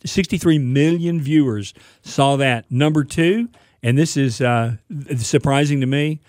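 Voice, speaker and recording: A man hosting a casual radio show about cars, explaining news in a relaxed, informative way with filler uhs. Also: mid-range voice, unhurried, no room sound, studio quality. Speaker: radio show host, car expert.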